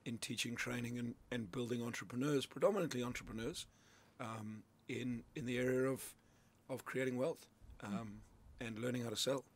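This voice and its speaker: A man's voice: mid-range voice, fairly even intonation, moderate pace, hardly any pauses, no room sound. A middle-aged man from Zimbabwe, a sales coach talking through his ideas as a studio guest, loose and conversational with plenty of restarts. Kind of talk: radio interview, explaining ideas. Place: radio studio.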